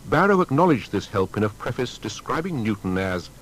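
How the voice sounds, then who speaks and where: A man narrating a scripted documentary biography in a formal, measured storytelling manner. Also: on the low side, big pitch swings, a little hiss or hum, low-quality recording.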